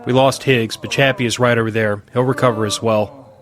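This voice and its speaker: A weary survivor nursing the sick acted by a man in an audio drama. His mid-pitched voice is gentle and reassuring, caring with his comrades. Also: fairly even intonation, fairly quick, close to an everyday voice.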